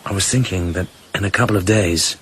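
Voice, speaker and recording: An actor playing a cool, dry British secret agent in a radio drama, speaking and narrating in a clipped, understated manner. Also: male voice, sits low, brisk, fairly bright tone, a little hiss or hum. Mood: calm, businesslike. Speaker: lead actor and first-person narrator. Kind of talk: radio drama acting and first-person narration.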